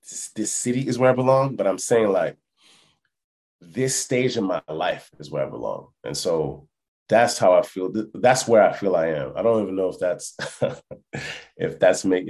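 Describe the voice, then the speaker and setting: Very low-voiced man, reflective and halting. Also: a little mellow. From born in France, lived in Canada and Texas, Congolese family. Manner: reflective, halting, often checks the listener follows. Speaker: hip hop musician, interview guest. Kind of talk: casual podcast interview.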